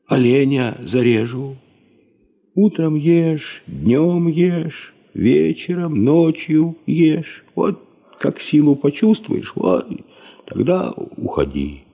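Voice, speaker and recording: An old tundra grandfather in a 1970s radio play. He is husky and slow, musing kindly in halting folk wisdom. The recording is slightly muffled. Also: a little high, warm tone, exaggerated voice. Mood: kindly, reassuring. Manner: halting, folksy, philosophical musing. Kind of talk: Soviet radio drama acting.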